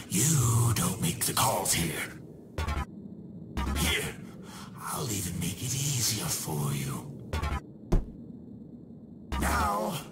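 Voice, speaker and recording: An actor voicing a scheming, short-tempered shadowy spirit who takes souls, theatrical and mock-polite, in a video game villain style. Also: male voice, mid-range voice, heavily acted.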